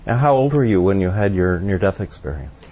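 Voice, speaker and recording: Low-voiced radio host. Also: male voice, big pitch swings, brisk, dark tone, lo-fi sound, slight background noise. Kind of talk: radio interview.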